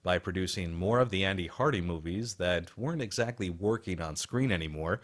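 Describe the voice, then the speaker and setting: A male radio host narrates from a script. His voice is on the low side, and his delivery is polished and presenterly. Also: brisk.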